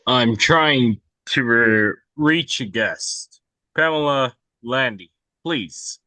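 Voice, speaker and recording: Slow, sharp-voiced male caller read by a man. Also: medium pitch, animated, no echo, exaggerated voice, poor audio. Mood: terse. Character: terse male caller asking for a hotel guest. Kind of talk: screenplay table read.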